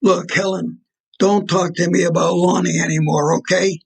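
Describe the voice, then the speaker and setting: A man acting in an audio play as an irritable, menacing mob fixer boyfriend, with blunt, slangy talk and a gravelly, husky voice. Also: sits high, level tone, moderate pace, heavily acted. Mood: irritated.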